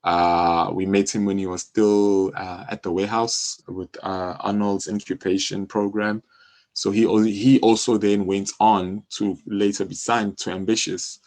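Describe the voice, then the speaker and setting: A man's voice: fairly low pitch, level tone, unhurried, a little mellow. A South African man talking casually as an interview guest, explaining things and often checking in with the listener. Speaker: music publishing company co-founder, interview guest.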